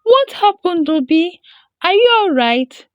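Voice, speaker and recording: A woman's voice: very high voice, sing-song, takes it slowly, dry recording, highs cut off, pitched above the performer's own voice, exaggerated voice. A woman voicing a frightened, caring young village woman in a folktale. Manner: fearful, urgent. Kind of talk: acted folktale storytelling.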